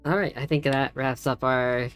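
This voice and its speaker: A woman's voice: rumbling low, steady tone, normal speed, clear, resonant tone, faint music behind. A woman hosting a tutorial livestream in casual chat. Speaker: tutorial stream host.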